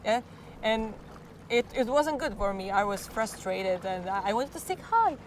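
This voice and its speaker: A teenage girl from Croatia, speaking English with a Croatian accent, answering interview questions about singing in a klapa. She sounds a little hoarse and often pauses to search for words. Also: mid-range voice, lively intonation, slight background noise. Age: teens. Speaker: klapa singer.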